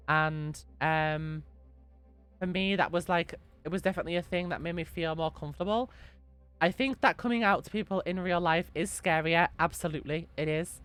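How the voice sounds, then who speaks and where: Gentle, slightly hoarse woman streamer. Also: lowish voice. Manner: gentle and reassuring, repeats key advice. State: slightly hoarse from being sick. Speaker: streamer answering chat questions. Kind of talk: casual advice chat on a live stream.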